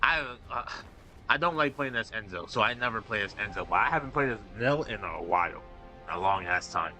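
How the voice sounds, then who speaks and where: Guy streaming a game, chill and sweary, bright clear voice, music under it. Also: lowish voice, animated, a little fast. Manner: laid-back, swears often, says bro a lot. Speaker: gameplay streamer. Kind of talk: casual gameplay commentary.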